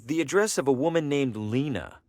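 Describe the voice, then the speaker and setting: A man's voice: mid-range voice, expressive, a little fast, a little mellow, no echo, natural voice. A man playing a wry, down-to-earth traveling salesman who thinks aloud in a dry, conversational way. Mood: puzzled, determined. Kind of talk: video game character acting, thinking aloud.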